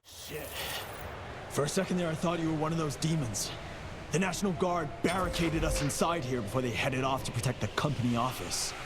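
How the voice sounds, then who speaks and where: An actor playing a shaken worker survivor who speaks in a quick, nervous way. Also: male voice, fairly high pitch, over music, natural voice. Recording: distant with game ambience noise.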